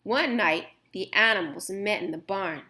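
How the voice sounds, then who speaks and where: A woman reading a children's picture book aloud in an expressive, gentle storytime manner. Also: medium pitch, a little slow.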